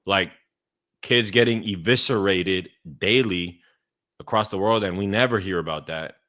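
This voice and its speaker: Deep-voiced laid-back male host. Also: normal speed, a bit bright. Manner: laid-back, slangy, says "bro" and "dude". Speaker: podcast host and fitness coach. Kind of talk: casual podcast conversation.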